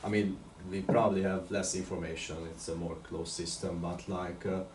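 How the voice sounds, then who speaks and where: A man with a very low voice asks the speaker a question from the audience in a loose, casual way, full of fillers. He is picked up from far off in a reverberant room. A faint hiss sits beneath him. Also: flat delivery, moderate pace. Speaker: audience member asking a question. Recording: distant echoey room with faint noise.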